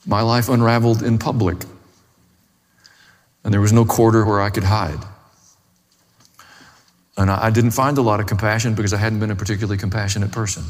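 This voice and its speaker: A man's voice: on the low side, steady tone, brisk, long pauses. Male preacher in a reverberant hall. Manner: emphatic, plain-spoken, repeats short parallel phrases for stress. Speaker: pastor, preacher. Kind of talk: sermon. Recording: long reverberant hall, faint music.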